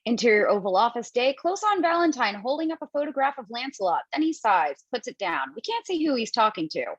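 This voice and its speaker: A woman reads the stage directions in a table read, brisk and casual with a playful streak. Her voice is slightly husky and fairly high. The home mic is dull and dry. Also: big pitch swings, no echo. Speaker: narrator reading stage directions.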